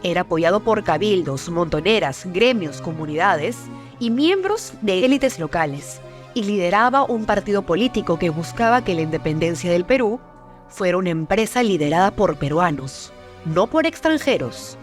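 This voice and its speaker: A woman giving scripted documentary narration in a measured, formal, dramatic manner. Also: fairly low pitch, big pitch swings. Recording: music behind the narration.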